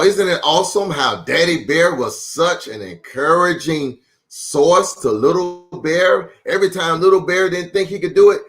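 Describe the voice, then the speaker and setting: A man hosting storytime for young children, reading and chatting in a playful, encouraging, deliberate way. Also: fairly high pitch, a bit bright. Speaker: children's storytime host, pastor. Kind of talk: animated picture-book reading and chat for young children.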